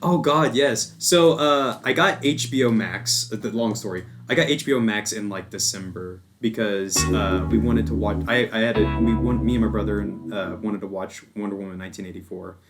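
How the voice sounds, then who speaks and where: A man's voice: on the low side, normal speed, few pauses, over music. An American man chatting casually and informally as a podcast guest, with a self-deprecating manner. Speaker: podcast guest, games journalist. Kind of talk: casual chat.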